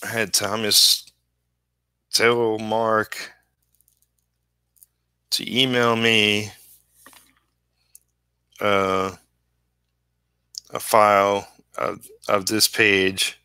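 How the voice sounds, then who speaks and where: A man's voice: on the low side, monotone, very slow, long pauses, bright, forceful tone, clean tone, no echo. A man chatting casually on a livestream while he works, rambling and trailing off mid-thought in an easygoing, self-deprecating way. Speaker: comic book inker live streaming.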